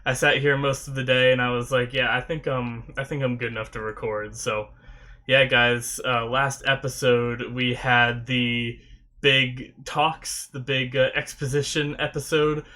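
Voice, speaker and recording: A man's voice: mid-range voice, little pitch movement, a little slow, sharp tone. A man hosting an anime reaction video, chatting casually to viewers while still sniffly and recovering from a sore throat. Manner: rambling, loose, talks with many fillers.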